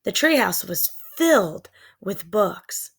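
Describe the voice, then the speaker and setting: A woman's voice: medium pitch, sing-song, takes it slowly, gravelly, husky. A woman reading scripted narration from a children's storybook. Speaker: storybook reader.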